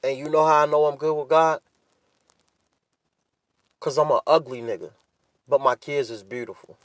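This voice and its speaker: Higher-pitched man in candid, slangy monologue. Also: steady tone, moderate pace, fairly bright tone, no echo. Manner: blunt, heavily profane, slangy, repeats himself for emphasis. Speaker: podcast host.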